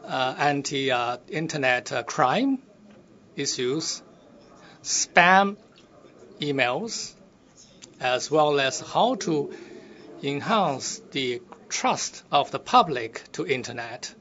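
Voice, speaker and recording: A man interpreting a delegate's speech live, halting with frequent fillers. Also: medium pitch, animated, pushed and bright. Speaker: simultaneous interpreter. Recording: dull lo-fi feed.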